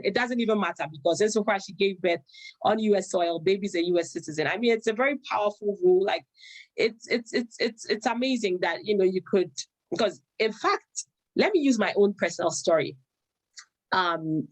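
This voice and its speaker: A woman's voice: mid-range voice, no echo, low-quality recording. A woman from Ghana with a Ghanaian accent, an immigration attorney talking on a video call, thoughtful and often restarting her sentences. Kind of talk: video call panel discussion.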